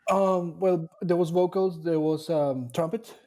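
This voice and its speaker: A man from Colombia, a dairy nutrition scientist talking about his career in a casual interview, pausing often to rethink his words. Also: a little high, fairly even intonation, mellow. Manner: thoughtful, with frequent fillers and restarts.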